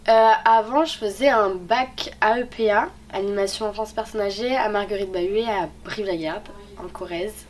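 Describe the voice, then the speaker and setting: A woman's voice: medium pitch, normal speed, hardly any pauses. A young woman of eighteen, a student ambassador, chatting casually with fillers. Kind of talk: casual testimonial.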